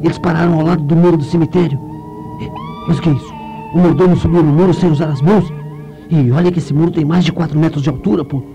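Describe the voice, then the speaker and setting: A man as an eager, high-voiced, gravelly reporter. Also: steady tone, quick pace, warm tone, faint music behind, close to an everyday voice, poor audio. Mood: excited, sneaking. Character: eager, ambitious, excitable male newspaper reporter. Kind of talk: comic radio play monologue.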